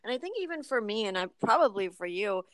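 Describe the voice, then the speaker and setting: A woman talking casually in a spiritual conversation, haltingly searching for her words as she goes. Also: mid-range voice, sing-song, a bit bright, no echo.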